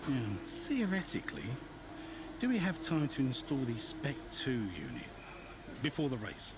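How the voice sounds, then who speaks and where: An actor playing a blunt, hot-tempered middle-aged boss of a racing team in a video game cutscene. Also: male voice, mid-range voice, huge pitch swings, moderate pace, noisy background. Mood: brisk, teasing. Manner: blunt, commanding, scolding. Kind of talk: cinematic video game dialogue.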